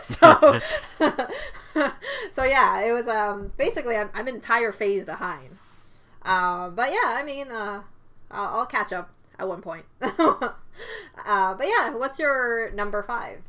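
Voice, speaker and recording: A woman's voice: on the high side, expressive, slow, pushed and bright. A woman whose first language is Cantonese, co-hosting a podcast in a chatty, laughing way. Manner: chatty, laughs often, restarts words. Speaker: podcast co-host. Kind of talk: casual film discussion.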